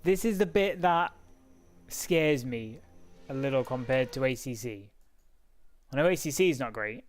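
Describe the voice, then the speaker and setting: A man's voice: medium pitch, expressive, unhurried, pauses often, faint music behind. A man live-streaming a racing sim, chatting casually and talking to himself as he clicks through menus, with frequent laughs.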